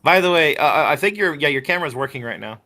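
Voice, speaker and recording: A man's voice: a little high, expressive, quick pace, pushed and bright. A man chatting casually on a podcast call in a brash, emphatic, sweary way. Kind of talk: casual chat.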